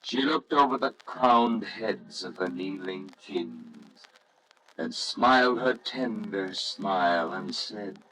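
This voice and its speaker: Slow, low-voiced male story narrator. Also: bright, forceful tone, soft background music, poor audio. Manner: solemn, reverent, measured. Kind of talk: scripted storybook narration, old radio drama.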